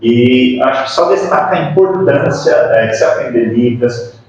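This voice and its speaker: A man's voice: medium pitch, expressive, moderate pace, a little mellow, faint music behind. A Brazilian man hosting a podcast, interviewing conversationally and hesitantly, often rephrasing as he goes. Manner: hesitant, repeats and rephrases questions. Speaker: podcast host. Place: echoey room, far from the microphone. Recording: very echoey, distant, muffled, faint noise.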